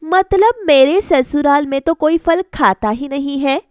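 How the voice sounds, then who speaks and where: A woman's voice: high-pitched, measured pace, no room sound, clean studio sound. A woman voicing a dutiful, caring young daughter-in-law in a family cartoon, speaking politely and respectfully. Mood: explaining.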